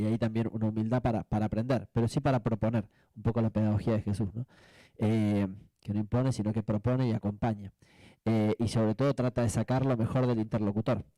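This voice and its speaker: A man with an Argentine accent speaking off the cuff as a panelist, reflective and halting, often adding tag questions. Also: fairly low pitch, warm tone, no room sound, poor audio. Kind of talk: spontaneous panel discussion answer.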